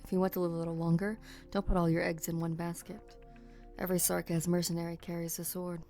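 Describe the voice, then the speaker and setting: A woman's voice: lowish voice, flat delivery, quick pace, warm tone, faint music behind, close to an everyday voice. A woman voicing a stern, suspicious female mercenary captain, curt and cutting, in an amateur game fan dub. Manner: curt, dry, accusatory.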